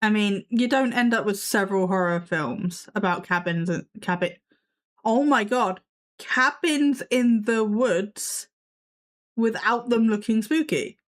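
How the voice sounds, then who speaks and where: A woman's voice: mid-range voice, unhurried. A woman hosting a live stream, reacting with sassy, skeptical commentary while losing her voice. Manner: sassy, skeptical, swears casually. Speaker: livestream host reacting to videos.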